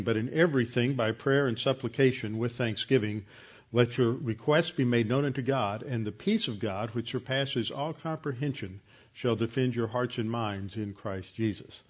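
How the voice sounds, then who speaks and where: A man, a pastor leading a Bible class with announcements, recited verses and prayer, talking in a halting way with many fillers and restarts. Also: mid-range voice. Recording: dull lo-fi sound.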